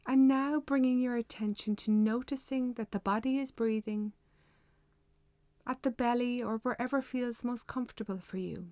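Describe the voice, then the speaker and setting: A woman guides a meditation in a fairly high voice. Her delivery is unhurried and measured, giving gentle instructions phrase by phrase. The sound is dry, with no room echo. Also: steady tone.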